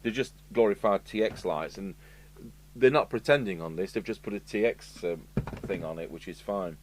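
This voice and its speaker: A man's voice: low-pitched, normal speed. A British man giving casual, matter-of-fact hands-on commentary as a repair technician, thinking aloud while he works. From United Kingdom. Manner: matter-of-fact, musing, talks to himself while working. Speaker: radio repair technician presenting a review. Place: indoor electronics workshop. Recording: clear background noise with electrical hum.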